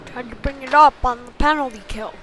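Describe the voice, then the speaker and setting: A woman's voice: sits high, brisk, a little hiss or hum. A woman doing live play-by-play commentary of youth ice hockey in short clipped phrases. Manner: short clipped phrases, excited on big plays.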